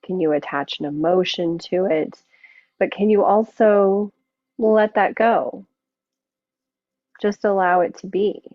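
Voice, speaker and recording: Slow-spoken woman guiding yoga, phone-like sound. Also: medium pitch, steady tone, no room sound. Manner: unhurried, gentle guiding with long pauses. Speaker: yoga teacher. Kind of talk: guided yoga and relaxation instruction. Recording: muffled narrow phone-like sound.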